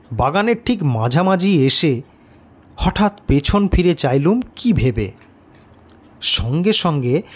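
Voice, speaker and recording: A man narrating a suspense story in a deliberate, dramatic way, with pauses that build tension. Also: medium pitch.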